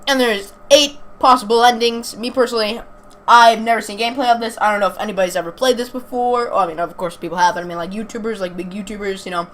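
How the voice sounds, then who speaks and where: A woman's voice: medium pitch, fairly even intonation, quick pace, fairly bright tone. A young woman casually commenting on a game and reading its text aloud, informal and chatty, stumbling over words now and then. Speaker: gaming video host. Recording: clearly noisy amateur home recording.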